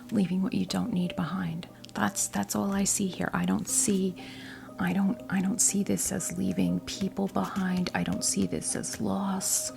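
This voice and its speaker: An American woman in her fifties playing a kindly witch tarot reader in an ASMR role play, whispering softly, gently and reassuringly with hesitant, unhurried phrasing. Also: mid-range voice. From New Jersey, United States. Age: 50s. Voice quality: soft breathy whisper.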